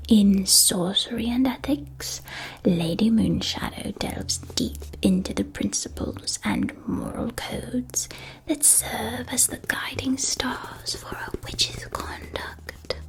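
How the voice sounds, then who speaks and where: A gentle witch played by a woman guides a young student through a school shop in an ASMR roleplay. The delivery is a soft whisper at an unhurried pace. The manner is soothing and precise, with a touch of theatrical storytelling. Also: on the high side, low-quality recording.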